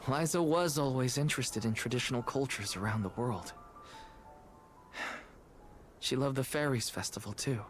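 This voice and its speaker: A man voices a young warrior hero from a fantasy game, earnest and loyal, with a mid-pitched voice full of feeling. Clear music plays behind him. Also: moderate pace, long pauses, close to an everyday voice. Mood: sympathetic. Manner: earnest, expressive. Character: earnest young male soul guard hero, loyal and emotional. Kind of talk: video game cutscene acting. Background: background music.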